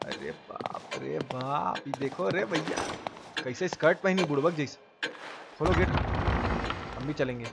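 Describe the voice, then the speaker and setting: Male live-stream gamer, Bihari-style Hindi accent, high pitch, colloquial and full of exclamations, noisy recording. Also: measured pace, gravelly, long pauses. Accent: eastern Hindi belt, Bihari-style. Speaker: game streamer. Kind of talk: live gameplay commentary, casual chat.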